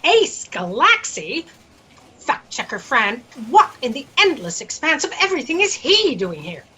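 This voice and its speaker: A woman's voice: sits high, big pitch swings, bright, forceful tone, exaggerated voice. A woman playing an imperious, self-absorbed female boss in a haughty, dramatic way for a comic sci-fi audio drama. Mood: haughty, demanding. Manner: haughty, dramatic, drawn-out delivery.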